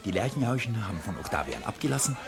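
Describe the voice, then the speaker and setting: A man's voice: fairly low pitch, very animated, fast, gravelly. A man narrating a radio play, reading scripted stage directions in a dramatic way. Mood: matter-of-fact. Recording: radio play, loud music and crowd behind.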